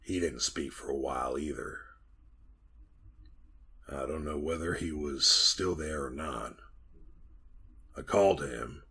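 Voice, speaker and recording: An actor playing a frightened, trapped miner in a 1940s-style radio horror drama, husky and plain-spoken in a folksy way. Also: male voice, a bass voice, slow pace, pauses often, pushed and bright, exaggerated voice. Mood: hushed dread. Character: trapped, frightened miner telling his haunted tale.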